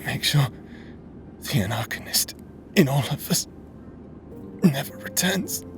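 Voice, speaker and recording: An actor playing a weary, tender husband in a dramatic audio roleplay, speaking wistfully and murmuring with breathy pauses. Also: male voice, on the high side, lively intonation, music in the background. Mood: tender, solemn.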